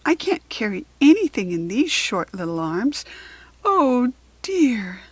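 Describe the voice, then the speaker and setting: Storybook mother kangaroo, played by a woman: tearful, pleading, hopeful, animated pitch, slightly husky. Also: slow pace, no echo, heavily acted. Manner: pleading, earnest.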